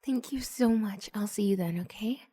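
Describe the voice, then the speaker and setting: A woman voicing a shy, flustered, adoring female student in an ASMR roleplay, hesitant and gushing in breathy half-whispers. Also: medium pitch, normal speed, warm tone, lightly acted. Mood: shy, hopeful.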